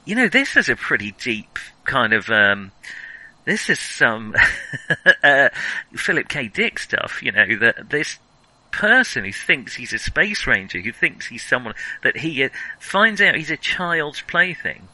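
A man's voice: medium pitch, sing-song, measured pace, pushed and bright, no room sound, rough recording. A man hosting a casual film discussion, thinking aloud and often rephrasing mid-thought. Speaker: podcast host.

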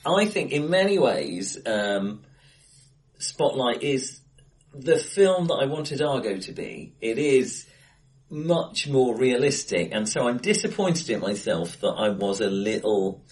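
A man co-hosting a film discussion in casual chat, hesitant and often rewording himself, recorded in a bright, echoey room. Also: medium pitch, sing-song, takes it slowly. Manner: hesitant, self-correcting, rambling. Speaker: film podcast co-host. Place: indoors in an acoustically bright room.

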